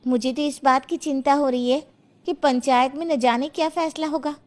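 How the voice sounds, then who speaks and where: A woman's voice: high-pitched, fairly even intonation, normal speed, darkish tone, natural voice. A woman playing a caring, worried older mother-in-law in a village cartoon story. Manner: concerned, motherly.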